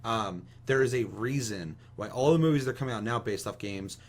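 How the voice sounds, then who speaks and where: A man's voice: lowish voice, fairly quick. A man co-hosting a casual opinionated discussion, speaking vehemently and swearing heavily. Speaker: podcast co-host. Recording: audible steady hum and noise.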